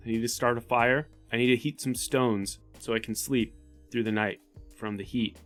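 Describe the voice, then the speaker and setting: Practical, serious desert tribesman, man at a game table, medium pitch, roleplay dialogue. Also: fairly even intonation, normal speed, fairly bright tone, faint music behind, natural voice. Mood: earnest. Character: earnest male desert tribesman, weary of the cold, practical.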